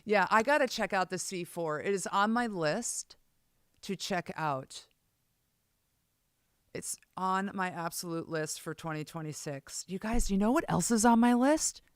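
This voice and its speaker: A woman with a low voice, rambling excitably on a casual live stream as she plays bass and fiddles with her gear. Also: measured pace, smooth, no room sound, clean studio sound. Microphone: streaming setup mic.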